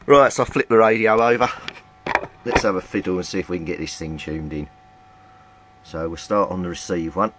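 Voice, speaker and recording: Deep-voiced man muttering over a repair. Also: a little fast, background noise. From Britain. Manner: muttering, thinking aloud while tinkering. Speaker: radio repair hobbyist. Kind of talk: casual repair commentary.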